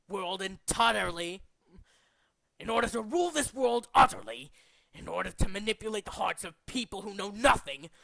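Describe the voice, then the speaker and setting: A megalomaniac male cult leader delivering a theatrical video game monologue. The voice is high-pitched for a man, sharp and forceful, recorded close and dry. It is pompous and contemptuous, shifting between hushed scheming and menacing rants. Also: creaky, dry recording, full character voice, rough recording.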